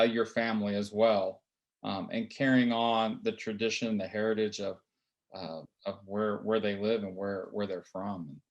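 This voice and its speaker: A man who scouts basketball players, hosting an interview in a halting way with many fillers and repeated words. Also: lowish voice. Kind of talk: interview host.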